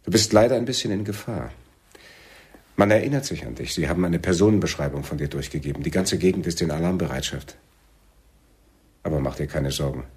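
A man playing a wary, suspicious militant leader in a German radio play, husky and measured in his questioning. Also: a bass voice, a little mellow. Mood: tender, solemn. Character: wary, soft-spoken male Palestinian militant leader, suspicious lover.

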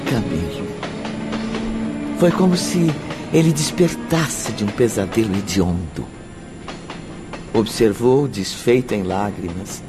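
A man narrating a spiritual radio drama as a grave, deliberate, dramatic storyteller. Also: mid-range voice. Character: solemn male storyteller of a spiritual tale.

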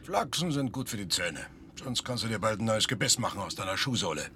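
A man's voice: lowish voice, very animated, fairly quick, sharp tone, a little hiss or hum. An actor playing a sardonic, bantering expedition crewman in a radio play. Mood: sarcastic.

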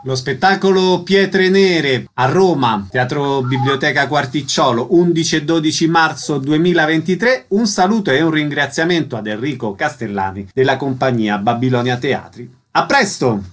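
Higher-pitched male radio host. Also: moderate pace, few pauses. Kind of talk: radio interview questions and announcements.

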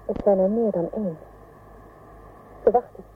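A woman's voice: medium pitch, normal speed, close to an everyday voice. A woman playing a fearful, watchful space explorer in a science fiction radio play, giving tense, urgent warnings. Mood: frightened. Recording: phone-like audio with faint noise.

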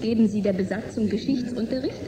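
Actress as a pert, high-voiced young woman. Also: fast talker, background noise, lightly acted. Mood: playful, cheeky. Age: young adult. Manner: pert, mocking. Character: cheeky, sharp-tongued nineteen-year-old girl stowaway type. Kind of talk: German radio drama acting.

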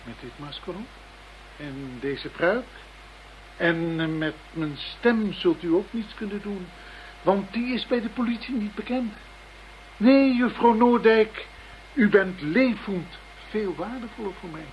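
An actor playing a coolly threatening, formal and deliberate masked blackmailer in a radio play. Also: mid-range voice, expressive, dull audio, natural voice. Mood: controlled threat. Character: cold, polite, menacing masked blackmailer holding a captive. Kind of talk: Dutch radio play acting. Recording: noisy radio play recording.

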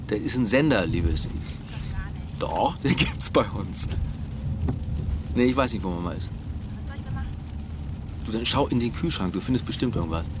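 An actor playing a vain, grumbling middle-aged politician, abrupt and bossy, in naturalistic radio drama dialogue. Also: male voice, medium pitch, close to an everyday voice. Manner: grumbling, self-important, abrupt. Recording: loud vehicle noise behind dialogue.

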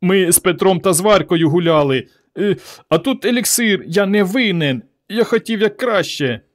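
A man voicing a teenage village son in a story, a cheeky lad quick to boast or whine. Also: medium pitch, lively intonation, lightly acted. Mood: whimpering. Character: cocky teenage village son who turns whining and pleading.

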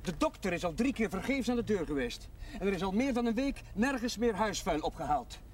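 High-voiced, restless village chaplain. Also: male voice, sing-song, fairly quick, darkish tone, a little hiss or hum. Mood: wry, earnest. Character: restless, headstrong village chaplain, wry and irritable. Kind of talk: television drama acting.

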